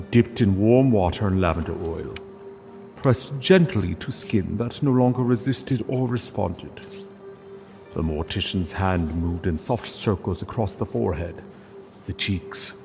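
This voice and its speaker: A man reading a literary story as narrator, solemn and deliberate, with dramatic pauses. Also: low voice, a little mellow, long reverb tail, soft background music.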